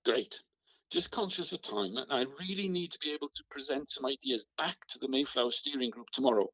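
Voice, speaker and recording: A man's voice: medium pitch, fairly quick, a bit bright, dry recording, lightly acted. A man playing a polite, hesitant, encouraging community meeting chair in an amateur radio play. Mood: polite, brisk. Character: James, earnest, harried male chair of a community meeting. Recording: muffled, dry phone recording.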